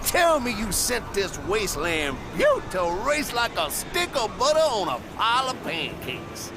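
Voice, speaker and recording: A man's voice: high-pitched, wildly swooping pitch, measured pace, noisy background, exaggerated voice. An actor playing a flamboyant, food-loving wasteland race promoter in a video game, with a folksy, drawling showman's patter. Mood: astonished, welcoming. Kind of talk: theatrical video game character acting.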